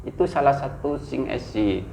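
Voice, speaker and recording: A man's voice: medium pitch, moderate pace, vocal fry, dark tone. A man with a Tegal Javanese accent, a writer reminiscing casually and mixing Indonesian with Tegal Javanese, often restarting. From Tegal, Central Java. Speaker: guest, writer. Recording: roomy, dull, faint noise.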